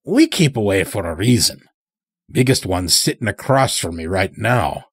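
A man's voice: on the low side, big pitch swings, moderate pace, breathy edge, no room sound, studio quality. A man voicing a weary, sly old blinded werewolf elder with a folksy, rustic drawl in an audio horror story. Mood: weary, coaxing.

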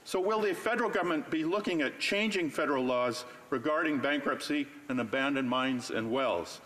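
A man's voice: on the high side, moderate pace, fairly bright tone. A man, a member of parliament, giving a prepared floor speech and pressing pointed rhetorical questions. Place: legislative chamber.

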